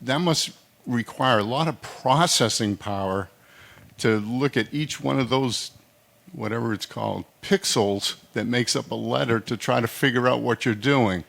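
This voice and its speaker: A man in the audience asking a long, chatty question about learning the software. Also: medium pitch, a little slow, creaky. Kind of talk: question from the floor.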